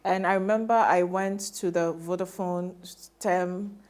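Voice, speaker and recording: A woman's voice: fairly low pitch, fairly even intonation, measured pace, a little mellow. A woman hosting a TV interview, affirming and chatty with her guest.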